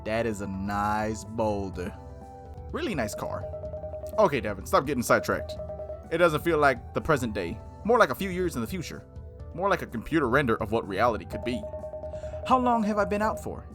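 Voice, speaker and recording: A gaming host reading visual novel text aloud and commenting on it casually, in an animated, playful, slangy way. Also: mid-range voice.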